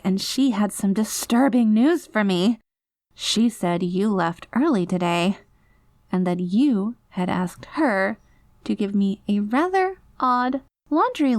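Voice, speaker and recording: A woman's voice: medium pitch, a little mellow, no echo, studio quality. A woman acting an obsessive yandere girlfriend in a scripted audio roleplay, speaking with over-polite, saccharine menace and barely contained panic. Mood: cold, knowing. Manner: saccharine pet names, pointed over-polite phrasing, veiled threats.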